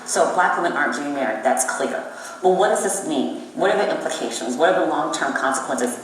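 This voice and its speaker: A woman's voice: low voice, lively intonation, brisk. A woman giving explanatory commentary as in an interview. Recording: echoey playback, distant, faint noise.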